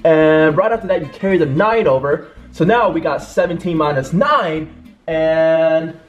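A young man hosting a casual vlog, excitable and quick to laugh and yelp. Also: fairly high pitch, lo-fi sound. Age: young adult. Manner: excitable, yelps and laughs often. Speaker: host. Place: large home kitchen indoors. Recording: echoey room with background music.

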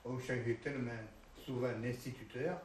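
An older man speaking in a halting, plain way about his schooling, in a kitchen. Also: medium pitch, steady tone, darkish tone. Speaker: interviewee. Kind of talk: casual recollection. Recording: echoey room from far off, faint hiss.

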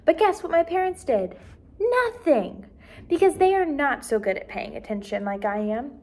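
A young woman with a fairly high voice reads a storybook aloud as a teacher would for small children. Her narration is expressive and carefully enunciated, with emphatic phrasing. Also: moderate pace. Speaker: first grade teacher reading aloud.

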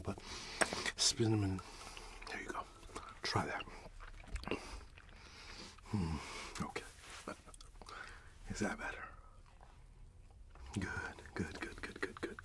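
A middle-aged man softly whispering in an ASMR roleplay as a friendly, chatty shopkeeper, close to the microphone. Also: on the low side. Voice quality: soft, mostly whispered. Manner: friendly, chatty, apologetic shopkeeper patter with repeated words. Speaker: ASMR roleplay performer playing a friendly candy shop owner.

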